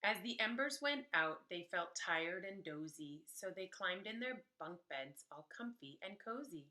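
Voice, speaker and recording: Female preschool teacher storyteller: slightly low, bright voice, unhurried and emphatic read-aloud for small children. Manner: animated, emphatic, pausing to explain to children.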